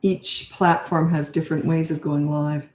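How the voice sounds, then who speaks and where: An older woman, a parenting coach, talking blunt and chatty with a raspy voice on a live-stream Q&A. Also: low voice, level tone, moderate pace, darkish tone, roomy sound.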